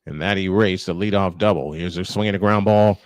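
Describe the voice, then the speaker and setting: A man's voice: low voice, steady tone, fairly quick, no room sound. Man calling baseball, dry close sound. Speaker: baseball play-by-play host. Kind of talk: live sports commentary with casual banter.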